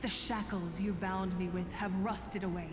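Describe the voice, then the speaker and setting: An actress playing a proud, resolute young heroine in a dramatic video game scene. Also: female voice, fairly low pitch, steady tone, moderate pace, lightly acted. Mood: proud, defiant. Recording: long echoing reverb over game music.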